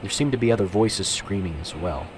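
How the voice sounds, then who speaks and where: A man playing an earnest, dutiful young police officer in an amateur radio drama, measured and by-the-book. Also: lowish voice, expressive, natural voice. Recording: clearly noisy, vehicle-like background ambience.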